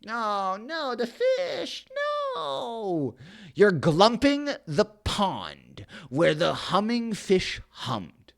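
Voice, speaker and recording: Reader doing a high squawky grumpy little forest guy, scolding in rhyme, pitch all over. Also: very animated, takes it slowly, a bit bright, no echo, studio quality, exaggerated voice. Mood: pleading. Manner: scolding, pleading, rhyming. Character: small cranky male forest creature.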